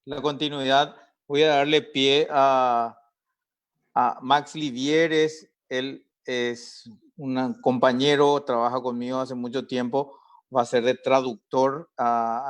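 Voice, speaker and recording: A man hosting and moderating a live online panel, chatting easily and passing the floor to the next guest. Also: mid-range voice. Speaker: host and moderator.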